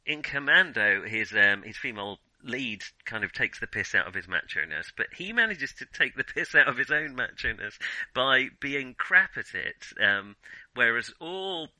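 A middle-aged man in a casual unscripted film discussion as a podcast co-host, often halting and correcting himself mid-thought. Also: medium pitch, big pitch swings, sharp tone, no echo, poor audio. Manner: halting, self-correcting, trailing restarts.

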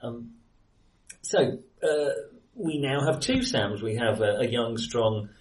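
A man's voice: mid-range voice, lively intonation, slow, darkish tone. Male co-host, conversational film chat with hedged asides, slightly distant in a reverberant room. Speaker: film discussion podcast co-host. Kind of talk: casual film discussion. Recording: roomy echo, distant from mic.

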